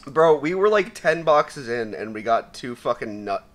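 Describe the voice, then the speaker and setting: A young man with a raspy voice giving casual, profane commentary while opening loot, swinging between mumbling and shouting. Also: mid-range voice, big pitch swings, measured pace. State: raspy from sneezing. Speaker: gaming YouTuber.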